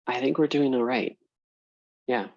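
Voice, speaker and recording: A man's voice: mid-range voice, fairly even intonation, unhurried, a little mellow, no room sound. A man speaking casually as a project representative in a work meeting. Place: online video call. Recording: dull, muffled call audio.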